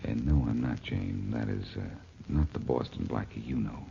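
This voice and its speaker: A dull 1940s radio recording of a wisecracking American detective. His voice is low and husky, and he talks glibly and teasingly, keeping cool under suspicion. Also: normal speed, warm tone, exaggerated voice. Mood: cool, guarded. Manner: glib, teasing. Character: wisecracking, cool-headed male amateur detective accused of murder.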